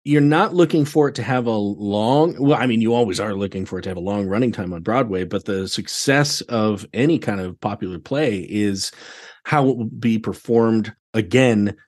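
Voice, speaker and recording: A man with an American accent chatting casually as a podcast guest, animated, colloquial and emphatic. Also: lowish voice, normal speed. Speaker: podcast guest, theatre director. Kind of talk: casual chat.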